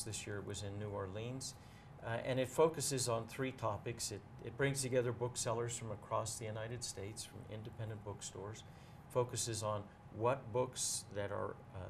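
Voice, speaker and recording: A man in a casual interview, talking as a bookseller guest in a measured, explanatory way and pausing to choose his words. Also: medium pitch, noisy background.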